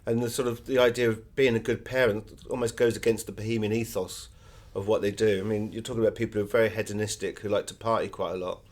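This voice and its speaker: A man's voice: lowish voice, fairly even intonation, quick pace, darkish tone. A middle-aged British man being interviewed as an author, telling anecdotes in a conversational way.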